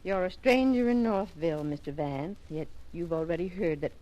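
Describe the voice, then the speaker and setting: A woman's voice: on the low side, normal speed, mellow, highs cut off, close to an everyday voice. A woman playing a genteel, reserved older mother in an old radio detective drama. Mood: guarded, evasive. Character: composed, guarded older mother of grown son.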